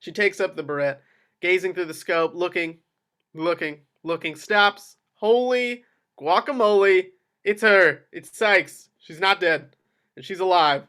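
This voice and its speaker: Bright-voiced man narrating a screenplay. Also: sits high, a little slow, clear, resonant tone. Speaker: table read narrator. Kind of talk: dramatic screenplay action narration.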